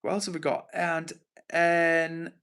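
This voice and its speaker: A man's voice: fairly high pitch, flat delivery, slow pace, fairly bright tone, clear, resonant tone. A man from England with an English accent, hosting a casual livestream, reading out comments and rambling informally as he thinks aloud. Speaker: livestream host.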